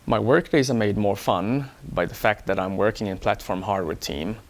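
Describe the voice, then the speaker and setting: A man from Hong Kong, an engineer, talking about himself in a measured, explanatory way for an employee profile. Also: on the low side. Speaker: electronics engineer. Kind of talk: first-person employee profile talk.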